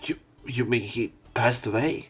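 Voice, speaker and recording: A man's voice: medium pitch, a little slow, a bit bright, faint music behind. A man reading a visual novel aloud as a let's play host, with his own asides.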